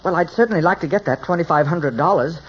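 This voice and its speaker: An actor playing a meek, fussy little hairdresser who talks hesitantly and politely in 1940s radio style. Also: male voice, a little high, quick pace, breathy edge. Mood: fretful, sheepish. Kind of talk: 1940s radio comedy-mystery acting. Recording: dull, noisy old radio recording.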